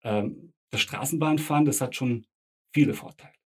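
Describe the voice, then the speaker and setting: A man's voice: mid-range voice, moderate pace, breathy edge. A middle-aged man with German parents from Bosnia, a tram driver, answering interview questions casually with hesitant fillers and short agreements.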